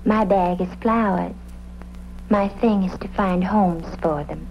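An actress playing an airy, whimsical hippie florist in a comedic radio police drama, speaking in flowery flourishes. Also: female voice, fairly low pitch, takes it slowly, gravelly. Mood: airy, insistent. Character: airy, dreamy hippie flower-shop woman with theatrical flourishes. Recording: muffled, noisy old radio recording.

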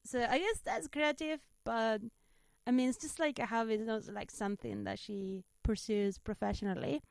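A woman from Spain with a Spanish accent, chatting casually as a comedian guest, animated and self-deprecating. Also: on the high side, moderate pace, creaky, no room sound. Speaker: stand-up comedian, interview guest. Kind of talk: casual chat, telling anecdotes.

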